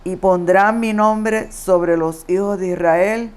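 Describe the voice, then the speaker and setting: A woman's voice: mid-range voice, fairly even intonation, clean tone. A woman from Puerto Rico with a Puerto Rican Spanish accent, reading Bible verses aloud and answering her study partner with short agreements. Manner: affirming, reads aloud slowly. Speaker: co-host reading scripture.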